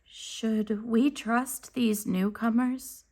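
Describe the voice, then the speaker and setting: A woman voicing a cautious, watchful male fox in a storybook, speaking slowly and warily. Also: fairly high pitch, steady tone, a little mellow, voice pushed up. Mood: wary.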